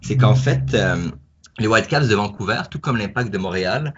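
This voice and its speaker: Podcast co-host, man, very low voice, chatty and explanatory, dull lo-fi sound. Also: moderate pace. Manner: frequent fillers, explanatory. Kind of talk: casual chat. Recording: dull lo-fi audio.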